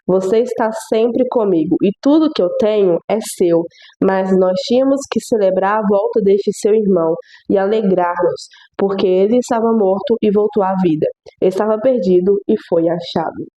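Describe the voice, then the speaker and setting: A young woman hosts a devotional video, reading scripture aloud and reflecting on it. Her voice is stuffy and nasal from a cold, with a mellow, unhurried warmth. She speaks earnestly and often repeats phrases for emphasis. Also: medium pitch, rough recording.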